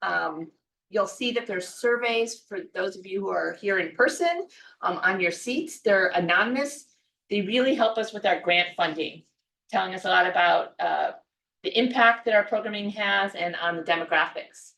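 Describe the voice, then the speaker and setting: A woman making event announcements and working through a long list of sponsors. She fills her pauses with ums and uhs. She is recorded from across an echoey room. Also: medium pitch, creaky, a bit bright, far from the mic. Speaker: event organizer and development staff. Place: event room at a book club.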